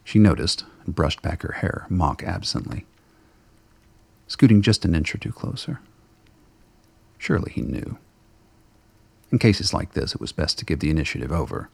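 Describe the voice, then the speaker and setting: A man narrating a radio play in a scripted, dramatic storytelling style with a gravelly, husky voice. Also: low-pitched, sing-song, moderate pace, pauses often, dry recording.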